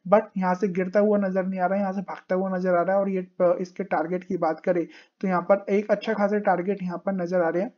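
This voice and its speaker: Stock channel host talking over a chart share, flat steady delivery, muffled lo-fi mic. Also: medium pitch, fairly quick. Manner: explanatory, constantly pointing at the chart with "देखिए" and "यहाँ पर"; mixes English finance terms into Hindi. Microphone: voice-over recorded separately from screen capture.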